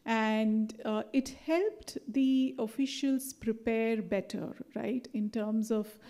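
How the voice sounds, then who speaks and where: Mellow-voiced woman giving a conference talk. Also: on the high side, slow. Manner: chatty, many fillers and tag questions. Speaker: conference presenter. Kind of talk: live conference presentation.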